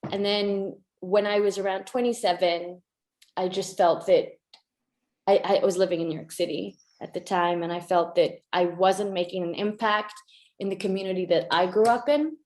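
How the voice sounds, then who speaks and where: A woman's voice: medium pitch, roomy sound. A woman from Tanzania in her thirties, a conservation photographer, telling her story in a chatty way with many restarts. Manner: chatty, restarts often, laughs. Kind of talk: casual interview answers.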